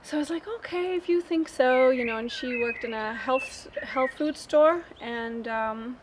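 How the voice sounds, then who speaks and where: Interviewee, woman from Denmark, slightly high voice; casual, halting storytelling; noisy outdoor take. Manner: halting, thinks aloud.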